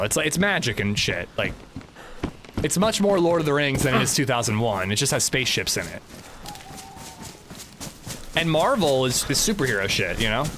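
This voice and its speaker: A man's voice: mid-range voice, noisy background. A man streaming a video game, chatting casually with his chat in a rambling, chatty way and swearing freely. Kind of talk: casual livestream chat while gaming.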